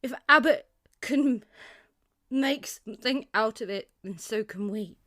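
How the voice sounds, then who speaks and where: A resolute young woman leading survivors in an audio drama, played by an actress. Her voice is high and slow, and the sound is dry. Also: a bit bright, no echo. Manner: resolute, measured.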